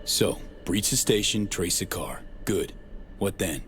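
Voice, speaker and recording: A street-smart mercenary man with a very deep voice. He talks in terse, slangy bursts, guarded and sardonic, in cinematic game style. Slight noise sits behind him. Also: lively intonation, unhurried, fairly bright tone. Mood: dry, businesslike. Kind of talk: cinematic game acting, casual slangy dialogue.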